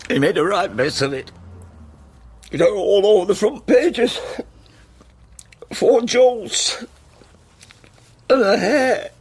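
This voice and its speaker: An actor playing a guilt-ridden older father in a radio drama, with a rough, husky voice and halting, broken phrases. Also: sits high, exaggerated voice. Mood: anguished. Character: haunted older father, guilt-ridden, burdened by childhood secret.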